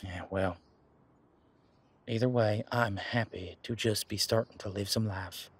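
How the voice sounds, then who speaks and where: A man playing an easygoing, protective werewolf bodyguard in a roleplay audio drama, chatting in casual, halting, folksy phrases. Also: fairly low pitch, fairly even intonation, slightly rough. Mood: encouraging, upbeat. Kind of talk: roleplay audio drama, conversational monologue to listener.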